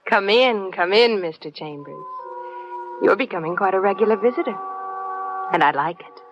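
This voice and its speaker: A woman's voice: medium pitch, expressive, normal speed, close to an everyday voice. A wealthy noir lady, played by an actress speaks with genteel, coy flirtation. She is elegant and inviting. The vintage radio drama sound is muffled, with music strong behind her. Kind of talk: 1950s radio detective drama acting. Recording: dull vintage radio recording, music underneath.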